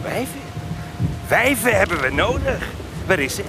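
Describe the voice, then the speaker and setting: An actor playing a drunken, bullying infantry sergeant who barks orders in a radio drama. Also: male voice, high voice, sing-song, moderate pace, vocal fry, over music, full character voice. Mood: drunken, menacing. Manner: barking, domineering.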